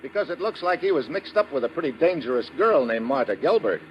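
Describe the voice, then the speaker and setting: An American man acting as a tough, brisk government agent questioning people in a 1950s radio detective drama. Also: a little high, big pitch swings. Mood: probing, pressing. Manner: brisk, hard-boiled, questioning. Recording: muffled, noisy old radio recording.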